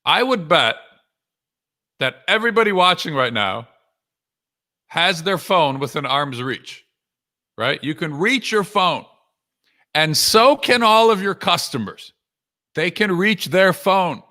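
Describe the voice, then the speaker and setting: A man's voice: sits high, lively intonation, slow pace, long pauses, bright, forceful tone. A man working as a sales coach, giving a conversational live talk to viewers in punchy short phrases with frequent rhetorical questions. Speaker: sales coach and author hosting a live webinar.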